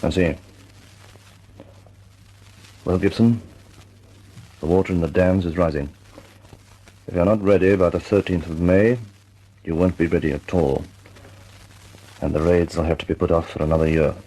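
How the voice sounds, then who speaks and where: An actor playing a measured, authoritative senior air force officer in a radio drama. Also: male voice, sits low, fairly even intonation, dark tone, poor audio. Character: senior RAF officer Cochrane, calm and authoritative.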